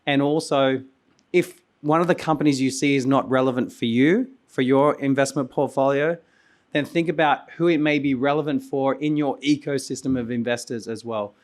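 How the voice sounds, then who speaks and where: Man, hoarse, losing his voice, mid-range pitch, loose rambling delivery, conference stage. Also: steady tone, moderate pace. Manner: loose and rambling, with frequent restarts and coughs. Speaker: conference host and company founder. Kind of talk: conference keynote speech. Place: conference venue.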